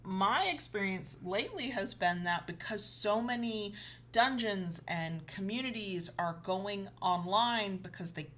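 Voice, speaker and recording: A woman with a mid-pitched voice talks as a co-host on an educational show. She explains in a conversational style, stressing her key points as she gives advice. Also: lively intonation, measured pace, fairly bright tone, a little hiss or hum.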